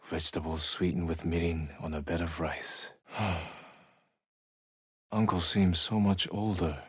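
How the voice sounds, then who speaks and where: An actor playing a stoic, honorable samurai warrior in a video game, with restrained, solemn, reflective delivery and a soft-edged husky voice. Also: male voice, low-pitched, level tone, slow pace, no echo, studio quality, exaggerated voice. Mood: pensive. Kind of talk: dramatic video game acting, reflective monologue.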